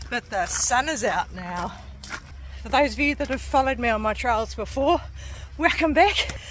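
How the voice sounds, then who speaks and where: A woman's voice: sits high, fairly bright tone. A woman in her forties with a New Zealand accent, vlogging casually as she hikes a coastal trail and laughing often. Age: 40s. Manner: chatty, laughs often, breathy from climbing stairs. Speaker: hiking vlogger. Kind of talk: casual vlog narration while hiking.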